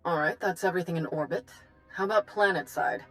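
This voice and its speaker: An actress playing a wry, direct, folksy head of state in a video game. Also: female voice, fairly low pitch, a little fast, soft background music, natural voice. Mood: resolute. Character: plain-spoken, wry female head of state, ex-pilot.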